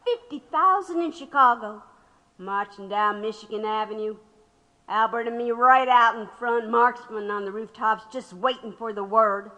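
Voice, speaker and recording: Audio drama: proud, impassioned woman activist under questioning. Bright, forceful voice, long hall reverb. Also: on the high side. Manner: impassioned, storytelling.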